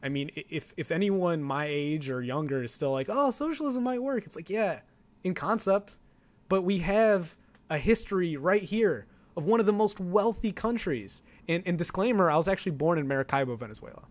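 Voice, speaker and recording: Animated young American man, slightly high voice. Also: normal speed, no echo. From Ohio, United States. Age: 20s. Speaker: talk show participant discussing politics. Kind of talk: casual political chat.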